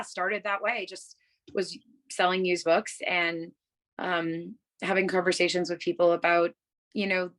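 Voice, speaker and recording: A woman in her thirties talks casually over a video call from a room at home. Her voice sits a little low, and she answers in an upbeat, rambling way, full of "um" and "you know.". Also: a bit bright. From Massachusetts, USA. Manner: chatty, many fillers, upbeat. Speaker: bookstore owner, guest.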